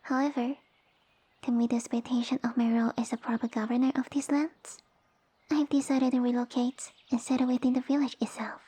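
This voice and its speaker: Woman voicing a breathy, high-pitched, haughty little lamia boss. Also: little pitch movement, no echo. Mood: commanding, smug. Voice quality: soft, breathy, whispery. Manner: formal, imperious, measured phrasing. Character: small, sassy, haughty female lamia village ruler and boss. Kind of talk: ASMR roleplay audio addressed to the listener.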